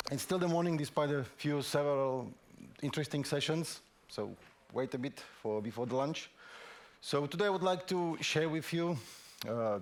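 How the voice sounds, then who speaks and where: A man speaking English presents a technical talk on a conference stage. His pitch sits in the middle for a man, and he works through his points haltingly, repeating words and filling pauses. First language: Slovak. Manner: hesitant, frequent restarts and fillers.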